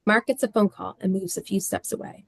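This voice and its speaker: Creaky-voiced woman narrating a script. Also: mid-range voice, steady tone, fairly quick, no echo. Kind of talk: scripted narration of stage directions.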